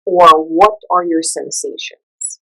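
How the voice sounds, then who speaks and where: A woman with a slightly low-pitched voice guides a meditation. She speaks in a soothing, conversational manner, offering gentle instructions and leaving the listener room to follow without hurry. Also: slow, low-quality recording.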